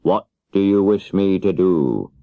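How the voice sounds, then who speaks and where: A man voicing a stern, commanding great wizard who speaks deliberately in a children's storybook. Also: sits low, lively intonation, vocal fry, no echo, full character voice. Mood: questioning, imposing. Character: stern, bargaining great wizard, a giant head. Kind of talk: children's audiobook character voice. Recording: dull 1970s cassette audiobook.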